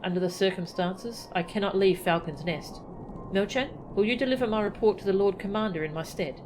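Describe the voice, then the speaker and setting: A woman voicing a dutiful military commander from a fantasy game, formal and measured. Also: medium pitch, natural voice, low-quality recording. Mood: grave, resolute. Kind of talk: reading game dialogue aloud. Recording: game music behind the voice.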